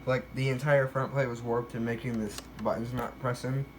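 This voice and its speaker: A man's voice: medium pitch, level tone, normal speed. Casual guy vlogging, noisy recording. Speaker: collector showing a weather radio collection. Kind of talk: casual unscripted show-and-tell.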